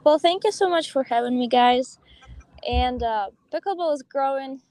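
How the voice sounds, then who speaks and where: A young woman speaks openly in a podcast interview, her colouring her English. Her voice sits on the higher side. She talks in a loose, chatty way, with soft laughs and ums between her thoughts. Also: normal speed, no room sound. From Russia. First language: Russian. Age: young adult. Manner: open and chatty, with light laughs and filler ums. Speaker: guest, professional pickleball player.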